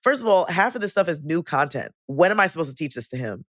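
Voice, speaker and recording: Hoarse, sassy woman co-host. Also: deep voice, fast talker, dry recording, studio quality. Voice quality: naturally hoarse, raspy voice. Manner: animated and sassy, with playful exclamations. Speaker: podcast co-host and teacher. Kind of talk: casual chat.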